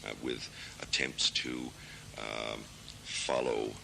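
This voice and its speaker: An American man in his thirties, a literary translator, answering interview questions in a thoughtful, measured way with many hesitations. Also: rumbling low, level tone, gravelly, pushed and bright, a little hiss or hum. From United States. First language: English. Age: 30s.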